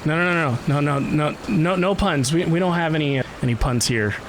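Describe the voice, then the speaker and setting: A man's voice: a little high, brisk, noisy background. A man live streaming a video game, casually chatting with viewers in a playful, wisecracking way. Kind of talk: casual live stream gameplay reaction and chat.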